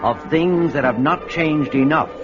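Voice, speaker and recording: A male announcer introducing a radio drama in a measured, declaiming way. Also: fairly high pitch. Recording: dull old radio audio, music behind.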